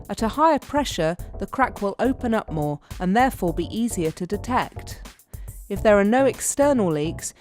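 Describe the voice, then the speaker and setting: A woman narrates an instructional training film with a measured, step-by-step delivery. Her voice sits at an average pitch for a woman, and music plays behind her as she reads from a script. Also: darkish tone.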